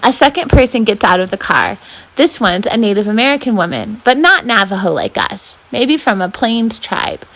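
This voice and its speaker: A woman reading aloud as a volunteer storyteller, with an expressive, dramatic delivery. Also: medium pitch, normal speed, low-quality recording. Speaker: volunteer children's book reader.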